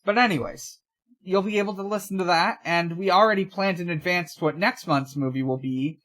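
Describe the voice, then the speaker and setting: A young man co-hosting a podcast in casual chat, talking in a halting, rambling way and often correcting himself. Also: high-pitched, a bit bright. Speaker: podcast co-host.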